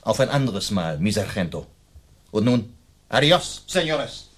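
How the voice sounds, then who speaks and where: An actor playing a bold, chivalrous masked outlaw in a German radio play, with a courtly, mocking and commanding manner. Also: male voice, on the low side, big pitch swings, unhurried, faint music behind.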